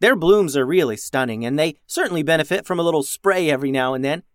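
A man's voice: a little high, lively intonation, fast talker, no echo, studio quality, pitched above the performer's own voice, lightly acted. A man voicing a mild, fussy starship crewman with a hesitant, rambling manner in a children's story. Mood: distracted, chatty.

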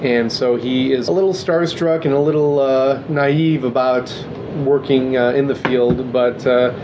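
A man's voice: mid-range voice, fairly even intonation, a little slow, lo-fi sound, background noise. A man informally introducing the character he plays in a tabletop game. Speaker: tabletop roleplaying player.

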